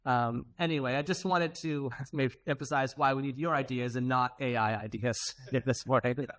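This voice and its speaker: A man's voice: mid-range voice, fairly even intonation, moderate pace, fairly bright tone, dry recording. A man presenting at a technical conference in an informal, conversational way, with casual asides. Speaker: conference presenter, software developer.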